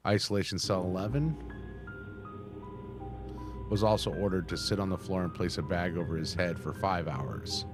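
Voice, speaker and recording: Low-voiced man reading aloud over music. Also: normal speed, pauses often. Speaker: reaction video host. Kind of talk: reading on-screen text aloud with casual commentary. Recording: music playing behind the voice.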